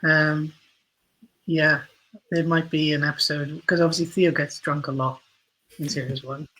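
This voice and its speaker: A man as a podcast guest, talking hesitantly with many pauses and fillers. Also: a little high, little pitch movement, bright, forceful tone, slight background noise, poor audio. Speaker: guest writer. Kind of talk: casual interview chat.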